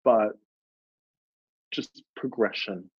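A man's voice: on the low side, extremely slow, breathy edge, dry recording. Earnest young Australian man, dry lo-fi. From Melbourne, Australia. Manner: earnest, reflective, with frequent fillers. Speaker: guest, drag performer. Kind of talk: casual podcast interview.

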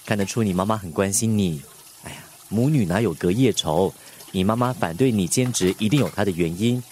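A man acting a kindly middle-aged manager who gives gentle, fatherly advice. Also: on the low side, fairly quick, a little hiss or hum, lightly acted.

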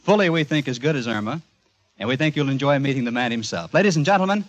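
A man's voice: mid-range voice, animated, fast. A man announcing in 1940s radio style to a studio audience on an old recording.

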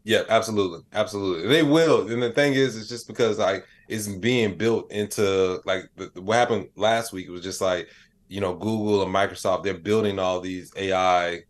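A man's voice: on the low side, normal speed. A man speaking as a podcast guest in a casual, rambling, slangy conversational style. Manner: rambling, slangy, frequent "you know what I'm saying". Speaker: podcast guest, AI expert and comedian.

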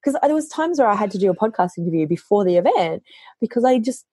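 A woman author, hoarse from losing her voice, chatting casually on a podcast and telling lively stories, often quoting herself. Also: medium pitch, quick pace, no room sound, studio quality. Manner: animated storytelling, quotes herself.

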